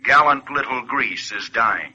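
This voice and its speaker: Muffled vintage radio: grave announcer, very bright and forceful, solemn declamation. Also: male voice, medium pitch, expressive, a little slow, breathy edge, heavily acted. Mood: somber indignation. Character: grave, forceful male wartime appeal announcer. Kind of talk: 1940s dramatic radio narration.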